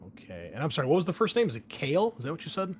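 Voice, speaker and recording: A man's voice: a little high, very animated, racing, faint music behind. A man hosting a tabletop game as game master, chatting casually as he introduces the session. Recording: clear background noise.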